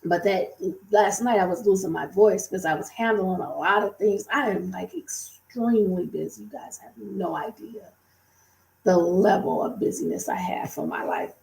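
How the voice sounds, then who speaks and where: A woman's voice: medium pitch, normal speed, creaky, a little mellow, echoey room, low-quality recording. A woman talking casually to her viewers in commentary style, chatty and repetitive with a lot of fillers. She is getting over a cold, and her voice is a little strained. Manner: chatty, repeats and restates, many fillers. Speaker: true-crime commentator.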